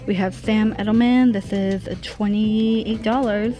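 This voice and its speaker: A woman's voice: medium pitch, steady tone, measured pace, dark tone, soft background music. A middle-aged woman vlogging a casual shopping trip, chatting and reading out prices as she browses a busy store. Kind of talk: casual shop-with-me narration. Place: busy discount clothing store.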